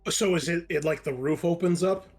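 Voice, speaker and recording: A man playing a tabletop game, chatting casually and thinking his moves out loud. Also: on the high side, a little fast, slightly rough.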